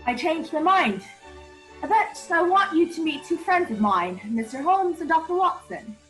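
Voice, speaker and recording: Woman playing a petulant, jealous English gentleman. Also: very high voice, expressive, normal speed, soft background music, rough recording. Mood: curt. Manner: blunt, petulant. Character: hot-tempered, jealous English gentleman, sullen and blunt. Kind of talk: 1940s radio play acting.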